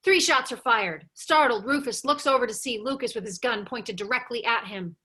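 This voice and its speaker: Bright-voiced woman narrating a screenplay. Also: a little high, moderate pace, slightly rough. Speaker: narrator reading stage directions. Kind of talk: scripted screenplay narration for a table read.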